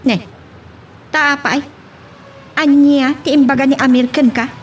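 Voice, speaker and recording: An actress playing a worried, sharp-tongued wealthy madam in a radio drama. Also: female voice, high-pitched, fairly even intonation, unhurried, slight background noise. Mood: suspicious.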